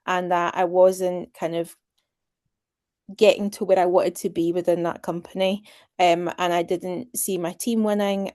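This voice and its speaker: Scottish woman in her thirties, low warm voice, dry close sound, chatty guest. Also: no echo. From Scotland. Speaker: interview guest. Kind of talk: casual interview.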